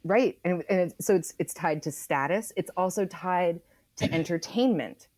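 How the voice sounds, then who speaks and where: Lower-pitched woman, halting and thoughtful. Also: darkish tone, no echo. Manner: halting, repeats words as she forms thoughts. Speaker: guest author. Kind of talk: thoughtful podcast interview conversation.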